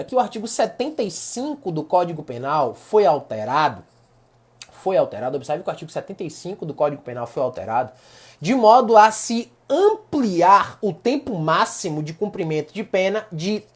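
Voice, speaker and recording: A man's voice: high-pitched, husky. A man from Salvador with a Bahian accent, giving a casual online law lecture and talking to his audience as a group of friends. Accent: Bahian Portuguese. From Salvador, Bahia, Brazil. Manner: chatty, repeats points, addresses audience as "galera". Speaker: law professor.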